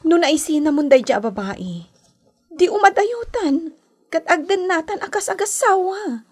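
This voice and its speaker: A woman's voice: very high-pitched, expressive, unhurried. A woman acting a scheming young woman in a radio drama, with a Filipino accent. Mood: jealous, possessive. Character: scheming young woman secretly seeing her best friend's boyfriend.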